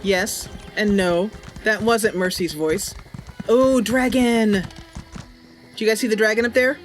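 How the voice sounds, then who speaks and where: A woman's voice: mid-range voice, fairly even intonation, measured pace. A woman giving casual, chatty, enthusiastic livestream commentary as she plays a game. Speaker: game streamer and channel host. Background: game music and sounds.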